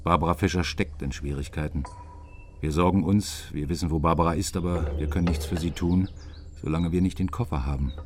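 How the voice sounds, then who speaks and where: An actor playing an imposing secret-police boss in a radio drama, speaking with measured, persuasive authority. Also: male voice, low voice, fairly even intonation, slight background noise, lightly acted. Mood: calm, persuasive. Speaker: senior secret police officer.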